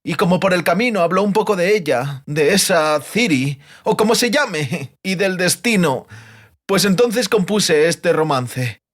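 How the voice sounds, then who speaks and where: A man's voice: high voice, expressive, a little slow. A man voicing a terrified captive bard in an audiobook, breathless and whimpering as he pleads and rambles through frantic denials. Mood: frightened insisting.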